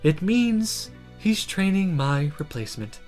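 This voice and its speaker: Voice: mid-range voice, very animated, a little slow, darkish tone, soft background music. Subdued, downcast mage teacher woman, voiced by a streamer. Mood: dejected.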